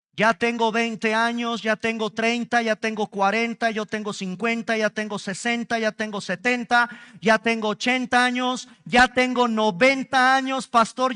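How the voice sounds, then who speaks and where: A man's voice: sits high, sharp tone, clear, resonant tone, in a large hall. A man with a Mexican Spanish accent preaching a sermon at a church service, stretching key words and speaking straight to the congregation. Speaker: pastor.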